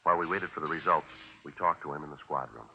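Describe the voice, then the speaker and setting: An American actor playing a stoic, businesslike police sergeant who narrates his case in clipped, deadpan 1950s radio drama style. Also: very deep voice, quick pace, vocal fry. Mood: matter-of-fact. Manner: deadpan, matter-of-fact. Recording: muffled, noisy 1950s radio recording.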